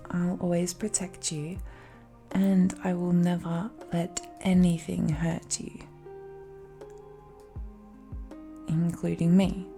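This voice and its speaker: Woman acting a slow, tender dragon girl. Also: lowish voice, mellow. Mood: devoted, possessive. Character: affectionate, possessive dragon-blooded fiancée, adult woman. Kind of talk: intimate romantic audio roleplay.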